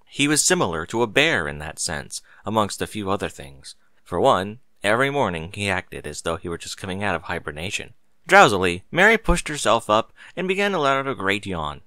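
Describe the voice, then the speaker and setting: A man reading a story aloud as narrator, in expressive scripted narration. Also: on the low side, a little fast, no echo.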